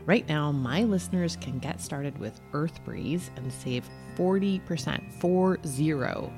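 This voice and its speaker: A woman's voice: low voice, animated, measured pace, over music. A woman hosting a podcast, speaking in a casual, conversational way. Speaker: podcast host. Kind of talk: casual podcast chat and host-read ad.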